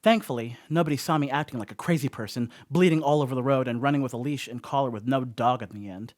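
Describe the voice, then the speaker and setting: A man's voice: medium pitch, fast talker, no room sound, lightly acted. Rattled, rambling slacker played by a man, dry close sound. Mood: uneasy, wry. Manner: conversational, wry, rambling confession. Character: rattled, rambling, wry slacker man confessing a frightening night. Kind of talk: first-person monologue in a horror comedy radio play.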